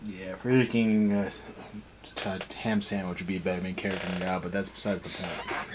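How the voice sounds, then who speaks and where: A man's voice: low voice, flat delivery, moderate pace, very noisy. A man giving a casual, unscripted episode review as a co-host, with a sarcastic, snarky way of talking. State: tired.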